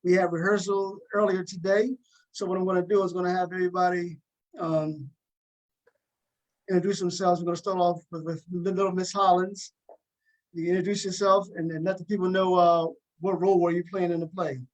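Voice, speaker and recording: A man's voice: high-pitched, flat delivery, fairly quick, low-quality recording. A man hosting a casual talk show interview, encouraging his guests and often repeating himself. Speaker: host interviewing a play's cast.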